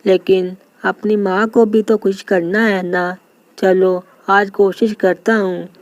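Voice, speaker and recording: A timid little lion cub from a cartoon fable , voiced by a woman. He sounds earnest and eager to please, slightly raised in pitch, with a dry and close sound. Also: level tone, slow, no room sound, lo-fi sound. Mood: hesitant.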